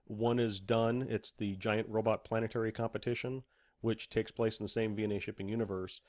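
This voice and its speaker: A man's voice: fairly low pitch, level tone, brisk, a little mellow, no echo, clean studio sound. A man speaking casually as an author being interviewed on a podcast.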